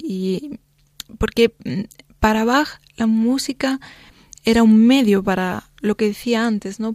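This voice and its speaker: A woman's voice: mid-range voice, darkish tone, dry recording. A Spanish woman pianist with a faint Italian lilt, chatting thoughtfully as a guest in a radio studio and sprinkling her answers with fillers. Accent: slight Italian lilt on Spanish. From Spain. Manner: thoughtful, explaining with many fillers and "¿no?". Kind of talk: radio interview chat.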